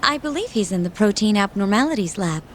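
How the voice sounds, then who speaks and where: A woman's voice: mid-range voice, animated, quick pace, a little hiss or hum, natural voice. A woman acting in a game dub as a polite, helpful receptionist with a slightly hesitant, courteous manner.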